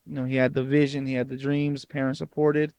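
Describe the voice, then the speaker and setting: A man with a mid-pitched, fairly flat voice. He hosts a podcast as a youth soccer coach, asks steady questions and turns to encouraging, mentoring advice. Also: brisk.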